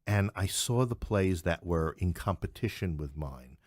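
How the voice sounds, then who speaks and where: A man's voice: deep voice, animated, a little slow, darkish tone, no echo, studio quality. A middle-aged man from New York City talking as a podcast guest, opinionated and emphatic, repeating phrases for stress. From New York City, United States. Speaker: podcast guest, playwright and English professor. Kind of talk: conversational interview, opinionated.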